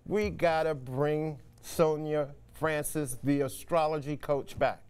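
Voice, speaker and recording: Male call-in show host, showman-like and emphatic, crisp higher-pitched voice. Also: steady tone, slow pace, darkish tone. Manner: showman-like, emphatic announcing with repeated phrases. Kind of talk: live call-in show presenting and interviewing.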